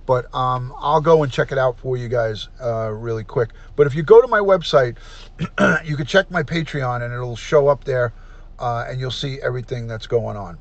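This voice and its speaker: A middle-aged man hosting a casual livestream, chatty and repetitive, often clearing his throat. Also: medium pitch, moderate pace, highs cut off. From New York. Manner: chatty, repeats himself, frequent throat clearing. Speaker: livestream host, retired detective. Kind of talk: casual livestream chat, reading comments aloud.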